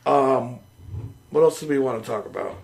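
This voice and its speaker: A man co-hosting a casual gaming podcast, sniffly from a cold, excitable and swearing freely. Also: mid-range voice, moderate pace, vocal fry. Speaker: podcast co-host.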